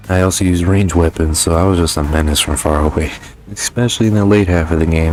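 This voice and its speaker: A young man with a low-pitched voice gives an informal game review commentary. His talk is loose and peppered with swearing, with faint music behind him. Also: darkish tone. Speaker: gaming YouTuber.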